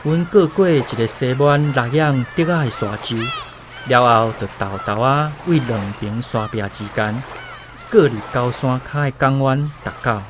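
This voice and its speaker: A man's voice: mid-range voice, a little slow, background noise, lightly acted. An actor playing a plainspoken, thoughtful male islander who recounts his story in a radio drama, with a Taiwanese accent. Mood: reflective, warm. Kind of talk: first-person radio drama narration. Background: faint guitar music.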